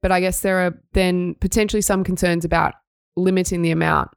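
A woman's voice: lowish voice, little pitch movement, a little fast, gravelly, smooth, no room sound, clean studio sound. A young Australian woman co-hosting a sports news podcast, reading stories and chatting in an upbeat way. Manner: upbeat, reads news items then chats. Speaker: podcast co-host.

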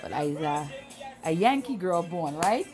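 Woman late 30s from trinidad, lowish voice, chatty storytime livestream, bit of music behind her. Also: sing-song, normal speed. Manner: chatty, familiar, addresses the audience often. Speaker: livestream host. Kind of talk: casual livestream storytelling to viewers. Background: background music.

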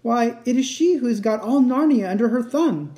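A woman's voice: a little high, expressive, moderate pace, warm tone, voice pushed up, lightly acted. A woman voicing a sorrowful, guilt-ridden male fawn in a melodramatic, tearful storybook reading. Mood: guilty, anguished. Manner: tearful, self-reproaching, melodramatic.

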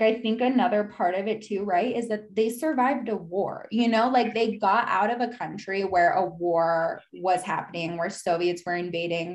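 A woman's voice: on the low side, darkish tone. A young woman from the United States, a podcast guest speaking candidly in a casual, reflective way, with lots of "like" fillers. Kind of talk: candid conversational interview.

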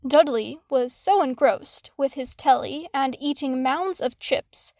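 A woman's voice: high-pitched, measured pace, darkish tone, dry recording, studio quality. A woman reading a story aloud as a scripted fan fiction narrator. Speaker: audiobook narrator.

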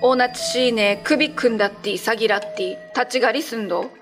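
A woman's voice: fairly quick, music in the background, close to an everyday voice. A woman playing a lovelorn shapeshifting cat monster living as a wife, in an eerie, emotional radio drama style. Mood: eerie, incantatory. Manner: eerie low chanting and tearful, beseeching dialogue. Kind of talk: radio drama horror acting.

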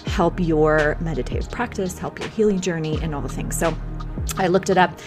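Brief: a woman with a deep, slightly hoarse voice, chatty podcast host, faint music behind. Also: normal speed. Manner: chatty, rambling, reads aloud from a website. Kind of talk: casual spiritual podcast talk.

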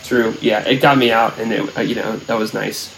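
A man's voice: lowish voice, steady tone, normal speed, gravelly, fairly bright tone, a little hiss or hum, low-quality recording. Rambling young man chatting casually. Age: young adult. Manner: rambling, many fillers and restarts. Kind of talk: casual chat.

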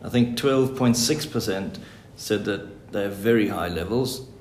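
A man's voice: lowish voice, a little slow, a little mellow, slight background noise. A man hosting a podcast, moving between scripted narration and informal interviewing. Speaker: podcast host.

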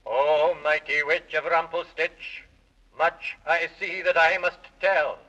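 Magic mirror in an old radio play, solemn slow rhymes, muffled recording. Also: male voice, on the high side, fairly even intonation, bright, forceful tone. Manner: stately, measured rhyming intonation. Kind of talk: 1930s children's radio drama acting.

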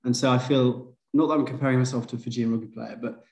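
A man's voice: medium pitch, fairly even intonation, fast talker, vocal fry, a little mellow. Brief: a man from Liverpool, a podcast guest, chatty and self-deprecating, in a roomy echo. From Liverpool, England. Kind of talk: casual conversation.

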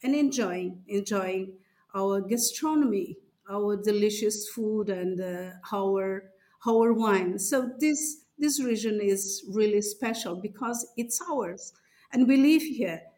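A woman with a Portuguese accent speaks slowly at a medium pitch. She is an interview guest, halting now and then as she searches for words. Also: mellow. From northern Portugal. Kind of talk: promotional interview answer.

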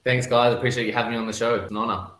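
A man's voice: medium pitch, level tone, very fast, fairly bright tone, reverberant. A man with an Australian accent, a podcast guest telling his story casually with lots of fillers and restarts. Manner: rambling, many "you know" fillers and restarts. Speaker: podcast guest, real estate investor.

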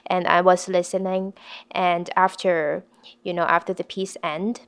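Slow, gravelly-voiced young woman from Hong Kong. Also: on the low side, level tone. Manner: soft-spoken, halting, with many fillers. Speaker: piano contestant. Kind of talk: casual interview.

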